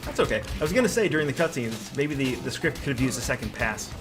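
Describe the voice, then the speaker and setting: Man, fast pace, casual inquisitive gameplay chat, music behind. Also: mid-range voice, sing-song. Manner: inquisitive, reacting. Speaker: gaming co-commentator. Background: game music.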